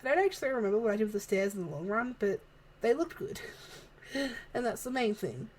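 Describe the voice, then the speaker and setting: Soft-spoken woman, chatty and giggly. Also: on the high side, lively intonation, brisk. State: losing her voice. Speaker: video creator narrating a game build. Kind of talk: casual voice-over chat. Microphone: home desk microphone, moved closer partway.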